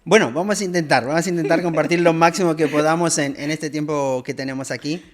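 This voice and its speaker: A man's voice: on the high side, fast talker. A middle-aged man from Argentina, a leadership coach answering interview questions in a reflective way, with many fillers and tag questions.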